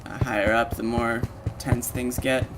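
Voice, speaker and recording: Male demo narrator, medium pitch, informal and explanatory, talking over prominent game music. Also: flat delivery, a little slow. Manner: informal, explanatory, addressing the listener as the player. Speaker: presenter of a video walkthrough, game sound designer. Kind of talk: casual walkthrough narration of a software demo. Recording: loud game music under the voice. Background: video game music and sound effects.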